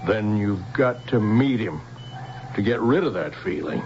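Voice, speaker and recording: An actor playing an earnest, confident scientist with a gravelly voice in a radio drama. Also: male voice, on the low side, animated, a little slow, darkish tone, muffled recording. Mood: confident, genial. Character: earnest, confident male scientist, doctor and husband. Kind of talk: 1970s radio drama acting. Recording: very noisy, band-limited old radio.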